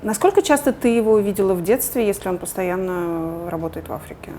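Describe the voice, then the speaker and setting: A woman's voice: medium pitch, fast, dark tone, slight background noise. A woman interviewer asking short, direct questions. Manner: brief prompting questions.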